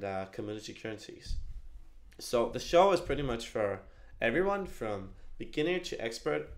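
A young man introduces his show with an upbeat, casual manner, sprinkling in lots of fillers. His voice carries a gravelly fry. He speaks through a headset from a small attic room. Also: mid-range voice. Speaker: host.

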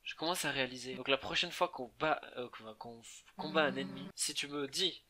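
A man voicing a tough, awkward teenage female monster in a game, blunt and halting. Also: mid-range voice, pushed and bright. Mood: grudging, threatening.

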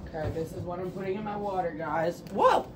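A woman's voice: lowish voice, fairly quick. Chatty woman, far from camera. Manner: chatty, frank, fond of "like" and "guys". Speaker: vlogger. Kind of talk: casual vlog chat to camera. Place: small apartment bedroom and kitchen. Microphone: camera set a few steps away. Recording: echoey room from far off, background noise.

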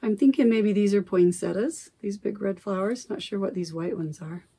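A middle-aged woman talks chattily at her desk camera in a home craft room, often circling back to correct herself. Her mid-range voice carries a gravelly fry, and it is still recovering after she lost it. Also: mid-range voice, normal speed, dark tone. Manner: rambling, self-correcting, chatty. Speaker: cross-stitch vlogger. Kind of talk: casual chat. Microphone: camera at desk distance.

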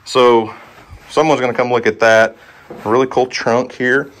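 This is a man in his thirties with an average-pitched voice. He talks to viewers casually as he walks around, rambling in a folksy, homespun way. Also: steady tone, moderate pace, noisy background. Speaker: homestead vlogger, host. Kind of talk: casual walkthrough vlog, talking to viewers. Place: outdoors in a yard, then inside a cluttered empty house. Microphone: handheld camera at arm's length.

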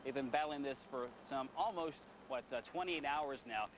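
A man's voice: mid-range voice, moderate pace, background noise. A male field reporter giving a conversational, explanatory live news report outdoors near a fire scene.

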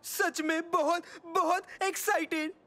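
A woman voicing an excitable, impatient young boy with a whiny, dramatic delivery in a cartoon dub. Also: very high-pitched, slow, full character voice.

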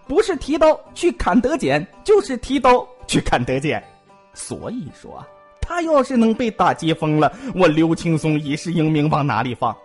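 A man voicing a talkative, joking young male physician who gossips and pleads comically in a multi-cast audio drama. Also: high voice. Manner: bantering, giggly, exaggerated pleading.

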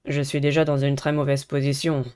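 Man acting a clear, even-toned schoolboy. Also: mid-range voice, fairly quick, close to an everyday voice. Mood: calm, earnest. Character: polite, earnest teenage schoolboy in a dating game.

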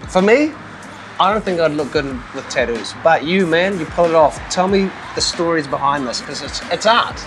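A young man with a slightly high voice. He puts questions in a relaxed, bantering way, keeping a mock-serious tone as host. Music is clearly audible behind him. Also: normal speed, poor audio. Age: 20s. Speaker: interviewer and host. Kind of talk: casual joking interview. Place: indoors in a small convenience store.

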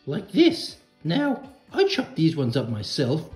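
A man's voice: on the high side, huge pitch swings, slow, a little mellow, roomy sound, soft background music, voice pushed up, exaggerated voice. A man voicing a cheerful, boastful stuffed bear who presents crafts for children in a puppet show.

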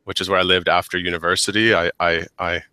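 Deep-voiced man on a headset call. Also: level tone, quick pace, sharp tone, clean tone, no room sound, clean studio sound. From United States. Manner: many restarts and repeated words. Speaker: guest. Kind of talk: casual interview chat. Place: small office with whiteboard. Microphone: headset mic on a video call.

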